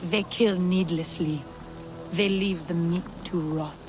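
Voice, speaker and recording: A woman's voice: on the low side, steady tone, slow, a little mellow, faint music behind. A woman playing a proud, solemn alien clan warrior in a video game. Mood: indignant, resolute.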